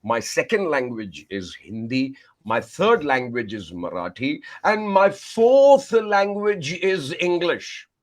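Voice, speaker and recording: A man with an Indian accent, Tamil by first language, telling his life story as a communication coach in an animated, effusive way with frequent laughter. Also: on the high side, slow, few pauses. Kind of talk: remote podcast interview.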